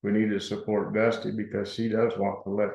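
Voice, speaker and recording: A town board trustee, a soft-spoken man speaking slowly and flatly at a meeting. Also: fairly low pitch, gravelly, slight background noise. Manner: soft-spoken, flat, unhurried. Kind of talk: informal meeting talk. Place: meeting room. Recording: echoey, dull room recording.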